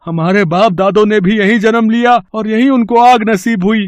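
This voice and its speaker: Bitter high-pitched village father, acted by a man. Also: fairly even intonation, moderate pace, no room sound, lightly acted. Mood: indignant, despairing. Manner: bitter, indignant lamenting. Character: angry, shamed old village father in debt. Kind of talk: dramatised moral story.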